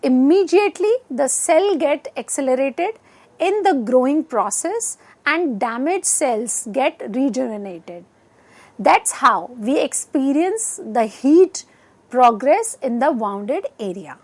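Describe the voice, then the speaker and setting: Slow, high-pitched Indian woman lecturer. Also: animated, darkish tone. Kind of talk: educational lecture, reading from slides. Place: indoor studio set. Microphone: lapel mic.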